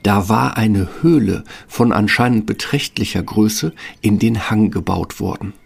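A man's voice: lowish voice, measured pace, gravelly, breathy edge. A man narrating a fairy tale as a scripted storyteller. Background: music.

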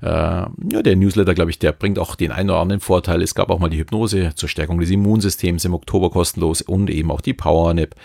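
A man with a Bavarian accent who talks in a loose, rambling way. His voice sits very low and carries a gravelly fry with a husky edge. The recording is close and dry. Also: steady tone, quick pace, hardly any pauses, a little mellow, no room sound. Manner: rambling, loose and chatty with many fillers. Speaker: podcast host and hypnotist. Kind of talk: casual podcast talk.

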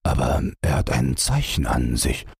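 Weary old farmer, read by a man, low gravel tone, slow, husky. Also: big pitch swings, no echo, clean studio sound, exaggerated voice. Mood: patient. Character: old male peasant farmer, weary and stern.

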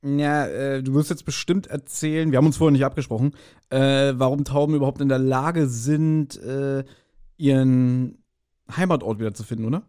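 A man co-hosting a podcast, giving casual, joking and mildly mocking commentary full of halting asides. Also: mid-range voice, fairly even intonation, warm tone, smooth. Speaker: podcast co-host. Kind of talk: casual chat, humorous commentary on an audio drama.

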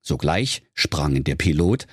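A man's voice: a bass voice, sing-song, measured pace, slightly rough, no room sound, clean studio sound. A man narrating a comic fairy tale as a storyteller, in a dramatic storybook manner. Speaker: storyteller, podcast host.

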